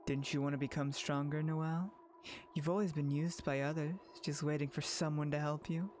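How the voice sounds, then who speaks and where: A man's voice: on the high side, steady tone, normal speed, darkish tone, a little hiss or hum, lightly acted. A man voicing a sinister manipulator in a visual novel, coaxing and taunting with insinuating, leading questions. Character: sinister, coaxing manipulator goading a girl toward power. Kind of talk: visual novel fan dub, dramatic acting.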